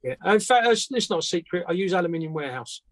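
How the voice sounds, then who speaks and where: A man's voice: high voice, animated, quick pace, no echo. A man who makes antennas, answering questions casually as a guest in a plain-spoken, hesitant way. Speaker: antenna maker, guest speaker.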